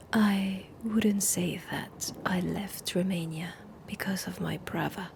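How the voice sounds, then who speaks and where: Woman playing a French-accented vampire aristocrat, whispered and husky, sick-voiced, very slow and gravelly, noisy recording. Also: fairly low pitch. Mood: sly. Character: centuries-old vampire woman, Dracula's sister, aloof. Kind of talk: whispered ASMR audio roleplay.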